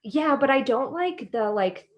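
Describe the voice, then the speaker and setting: A woman's voice: high voice, expressive, a little slow. An American woman co-hosting a podcast, chatting casually in informal, slangy phrasing full of "like". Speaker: podcast co-host. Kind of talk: casual chat.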